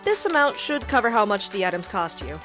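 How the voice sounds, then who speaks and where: An actress playing a friendly, courteous creature who keeps a cafe in an audio drama. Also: female voice, mid-range voice, very animated, brisk, a bit bright, soft background music. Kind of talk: audio drama character acting.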